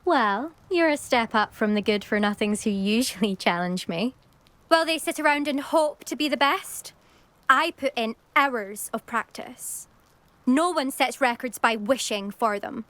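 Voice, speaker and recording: A woman's voice: on the high side, a bit bright, no room sound, natural voice. A young woman with a British accent playing a brash, competitive teenage racing champion in a video game, haughty and grudging with praise.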